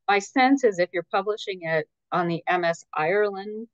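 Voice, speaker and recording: Measured woman on dull, dry audio. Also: mid-range voice, dry recording. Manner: measured, tentative, qualifies her points. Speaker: guest expert, editor. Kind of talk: conversational interview answers.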